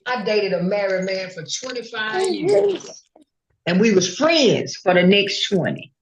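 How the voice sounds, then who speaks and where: A woman's voice: medium pitch, big pitch swings, a little slow, muffled recording, slight background noise. An older woman speaking in a blunt, profane, emphatic casual monologue.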